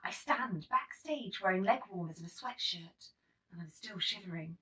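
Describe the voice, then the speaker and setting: A woman reading a children's picture book aloud in an expressive, dramatic storytelling manner. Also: medium pitch, normal speed. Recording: slightly distant microphone.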